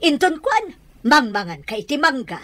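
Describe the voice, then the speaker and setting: An actress playing a stern, suspicious mother in an Ilocano radio drama, interrogating forcefully. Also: sits high, very animated, moderate pace. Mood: accusing, stern.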